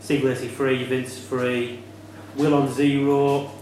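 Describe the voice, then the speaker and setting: A man's voice: medium pitch, flat delivery, slow, darkish tone. A man co-hosting a quiz, casually announcing scores and calling on contestants. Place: echoey room at a cricket club. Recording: very echoey room, faint noise.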